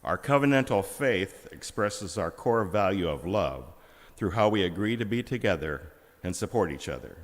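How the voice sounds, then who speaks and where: A man serving as worship associate, leading a church service with a measured, solemn reading. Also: low voice, animated. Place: large church sanctuary. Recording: reverberant hall.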